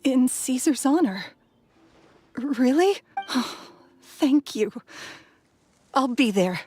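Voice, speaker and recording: A soft wistful young woman. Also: sits high, animated, very slow, heavily acted. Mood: touched. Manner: soft, reflective. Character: gentle, wistful young woman mourning her love.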